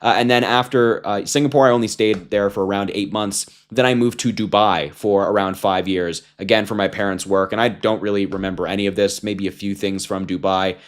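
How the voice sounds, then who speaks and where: A man's voice: fairly low pitch, fairly even intonation, fairly quick, hardly any pauses. A man in his twenties giving casual, combative political commentary to camera, talking informally with fillers and restarts. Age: 20s. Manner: mocking, combative.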